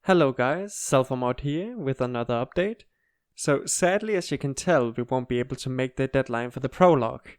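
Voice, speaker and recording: A man's voice: mid-range voice, expressive, moderate pace, clear, resonant tone, dry recording, clean studio sound. A man giving a casual update announcement as the creator of an audio project.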